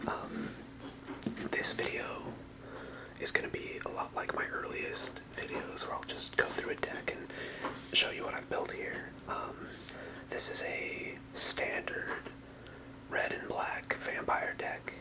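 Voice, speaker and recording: A fully whispered voice in the style of a casual ASMR video, with no voicing in it. The speaker rambles softly and hesitantly through a card showcase, sounding apologetic and unscripted. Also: takes it slowly, poor audio. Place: apartment room.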